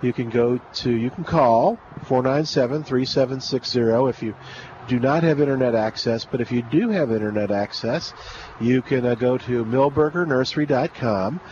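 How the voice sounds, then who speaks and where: Chatty radio garden-show host. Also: male voice, medium pitch, moderate pace, a little mellow, highs cut off, slight background noise. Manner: rambling and chatty, many fillers and restarts. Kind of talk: live call-in radio chat. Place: outdoor remote broadcast at a garden nursery.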